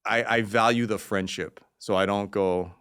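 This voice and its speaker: A man with a fairly low voice, a podcast guest from Los Angeles, spins loose, profane stories. He circles back on himself with many restarts and slips into quoted voices as he talks. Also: fairly even intonation, normal speed, a bit bright, clean tone. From Los Angeles, Koreatown. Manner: profane, rambling, with frequent restarts. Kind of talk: casual storytelling.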